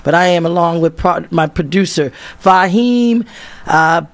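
A man with a raspy voice, a little hoarse, hosting morning talk radio as a fill-in and reading out news stories. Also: fairly high pitch, unhurried. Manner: animated, laughs often. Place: radio studio.

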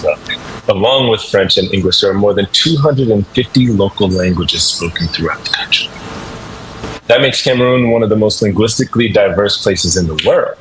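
Man, French teacher, lowish voice, explanatory and encouraging, conversational lesson, noisy background. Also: fairly bright tone.

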